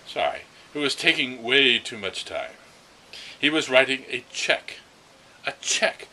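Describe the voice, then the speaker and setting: A man with a very bright, forward voice at an average pitch reads a literary story aloud. His delivery is expressive and slightly unpolished, over faint ambient noise. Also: measured pace. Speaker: reader of a story. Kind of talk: read-aloud first-person literary narration.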